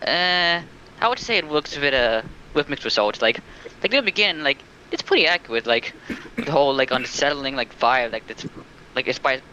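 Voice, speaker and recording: Hesitant man, bright forceful voice, dull mic. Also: medium pitch, lively intonation, normal speed. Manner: hesitant, heavy use of filler words. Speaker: stream participant. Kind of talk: casual discussion on a livestream. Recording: dull lo-fi mic, faint noise.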